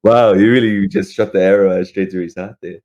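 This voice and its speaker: Male podcast co-host with a very low voice, informal and conversational. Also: fairly even intonation, fairly quick, a little mellow, muffled recording. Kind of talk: casual chat about games.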